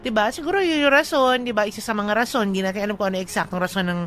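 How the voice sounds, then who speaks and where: A woman's voice: mid-range voice, rapid-fire, fairly bright tone. A Filipino woman co-hosting a radio show, chatting and giving advice in an emphatic, joking way over music. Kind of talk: casual radio banter and advice. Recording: music under the voice.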